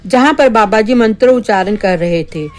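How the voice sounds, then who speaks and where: A woman's voice: mid-range voice, moderate pace, a little mellow. A woman narrating a scripted spooky tale for children as a storyteller. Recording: background music under the voice.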